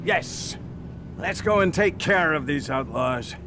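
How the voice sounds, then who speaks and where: A man's voice: fairly high pitch, lively intonation, slow pace, noisy background. A man playing an officious, grudging city guard in a video game, with a pompous, theatrical delivery. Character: officious, grudging, self-important male city gate guard. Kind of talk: video game dialogue acting.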